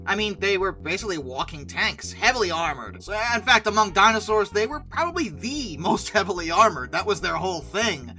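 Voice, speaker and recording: A woman's voice: medium pitch, lively intonation, a little fast, hardly any pauses, sharp tone, soft background music. A woman host giving casual, opinionated list commentary in an emphatic way, with theatrically exasperated asides. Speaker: host of a paleontology video channel.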